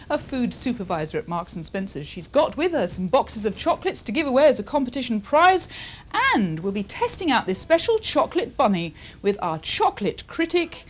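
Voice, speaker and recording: British woman, TV presenter, highly animated intonation, slightly high voice, emphatic upbeat delivery. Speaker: television news magazine presenter. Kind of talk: scripted upbeat programme introduction.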